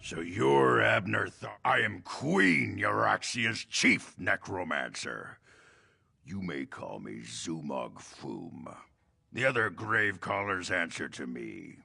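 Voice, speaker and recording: A man voicing a cold, imperious necromancer in a video game, raspy and formal, with a menacing manner. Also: sits low, big pitch swings, takes it slowly, pushed and bright, full character voice. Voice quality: gravelly, raspy. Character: sinister necromancer, queen's chief servant, cold and imperious. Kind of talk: video game character acting.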